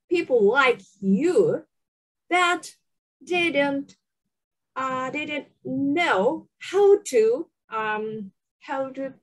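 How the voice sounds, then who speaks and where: A woman with a Japanese accent speaking slow, hesitant English on a webinar video call, pausing often to find words. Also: sits high, low-quality recording. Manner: hesitant, many fillers, searching for English words. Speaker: webinar panelist. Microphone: video call laptop mic.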